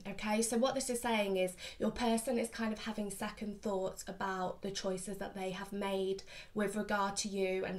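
A woman in her thirties talks casually to camera as she reads tarot in a room at home. Her voice sits at an average pitch for a woman and sounds slightly sniffly. She speaks informally and chattily, addressing listeners directly with reassuring asides. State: slightly sniffly from hay fever. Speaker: tarot reader, host. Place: small furnished room at home, indoors.

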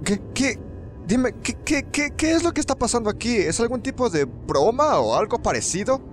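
A man's voice: extremely high pitch, sing-song, normal speed, faint music behind. A man with a Latin American Spanish accent playing an uneasy, sarcastic young company employee in a conversational game dub. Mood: bewildered. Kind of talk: conversational video game fan-dub acting.

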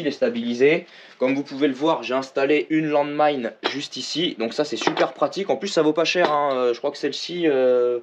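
A man in his thirties at a mid pitch, chatting his way through a tour of a small garage gym with concrete block walls. He talks with easy enthusiasm and stops often to restart his sentences. The sound is a little dull and lo-fi. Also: slight background noise. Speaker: home gym owner presenting equipment. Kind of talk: casual walk-through vlog.

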